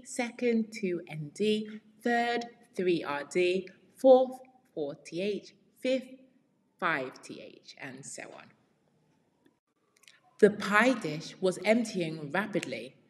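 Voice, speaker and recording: A woman reading a storybook aloud as a teacher, deliberately explaining words as she goes. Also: lowish voice. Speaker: read-along storyteller and English teacher. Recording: very reverberant room.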